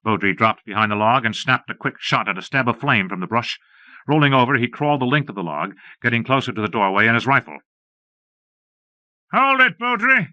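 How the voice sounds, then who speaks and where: A man's voice: fairly low pitch, animated, fast, pushed and bright, dry recording. A man reading scripted western narration for an audiobook, recorded close and dry on a slightly dull cassette.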